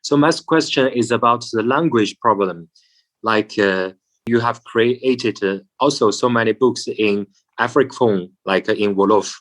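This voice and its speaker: A man's voice: medium pitch, a little slow, a bit bright. A man putting prepared questions to an author in an interview, setting them out methodically and rephrasing as he goes.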